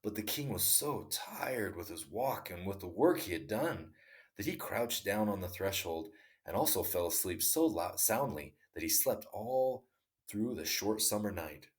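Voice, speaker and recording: A man narrating a classic short story as a steady, measured read-aloud. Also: lowish voice. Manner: steady, measured reading; casual outro to viewers. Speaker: storyteller and channel host.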